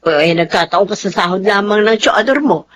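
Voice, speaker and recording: A woman's voice: mid-range voice, a little fast, natural voice. A woman acting a nosy, well-meaning middle-aged aunt in a Filipino radio drama, bustling and persuasive. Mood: persuasive, proud.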